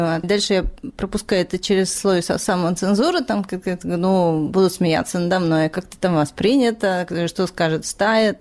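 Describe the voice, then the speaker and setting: A woman's voice: medium pitch, darkish tone. Candid woman reflecting in conversation. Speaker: interviewed artist.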